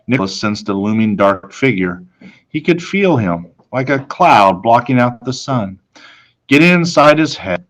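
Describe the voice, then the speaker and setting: A man reading a children's novel aloud expressively, with dramatic, deliberate phrasing. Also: on the low side, a bit bright. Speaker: story reader, teacher.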